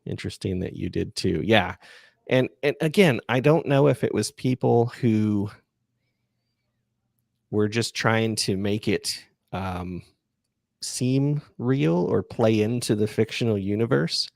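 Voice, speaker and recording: A man hosting a podcast livestream, chatting casually and answering listener questions in a halting, self-effacing way. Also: lowish voice, vocal fry, darkish tone, no room sound, studio quality. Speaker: podcast creator and livestream host.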